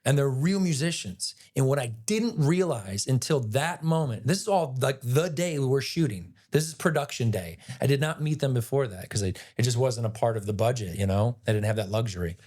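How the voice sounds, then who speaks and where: A man with a raspy voice, a guest actor telling a rambling, animated story in a casual interview. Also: mid-range voice, brisk, few pauses. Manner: animated, rambling retelling with many "like"s.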